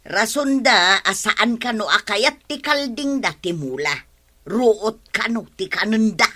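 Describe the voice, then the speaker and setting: A woman's voice: a little high, animated, measured pace, bright, forceful tone, heavily acted. An actress playing an aggrieved village woman in an Ilocano radio comedy drama. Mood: indignant. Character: aggrieved village woman complaining about neighbours' goats.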